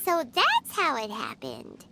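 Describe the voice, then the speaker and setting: A woman voicing a tiny, naive, excitable childlike fairy companion in a cartoonish video game style. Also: slow pace, gravelly, very noisy, heavily acted. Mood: puzzled. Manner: squeaky, refers to self by name.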